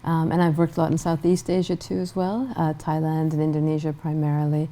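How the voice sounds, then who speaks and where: Low, mellow-voiced woman guest. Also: flat delivery. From Guyana. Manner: measured, with frequent restarts and ums. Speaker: interview guest, human rights director. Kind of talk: thoughtful interview answers.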